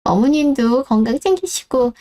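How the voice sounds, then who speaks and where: A woman's voice: high-pitched, expressive, normal speed, mellow. A woman voicing a polite, deferential middle-aged daughter-in-law in a radio drama who speaks apologetically.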